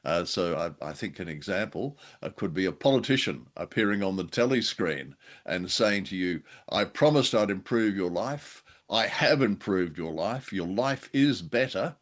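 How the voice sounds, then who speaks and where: A man's voice: low voice, animated, normal speed. A man co-hosting a radio show and podcast, chatting in a casual, conversational style.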